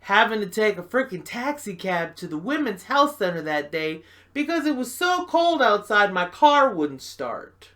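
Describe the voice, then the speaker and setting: A woman's voice: medium pitch, very animated, moderate pace, hardly any pauses, a bit bright. A woman performing a stage monologue as a hurt, bitter woman confiding about a former lover, with dramatic delivery.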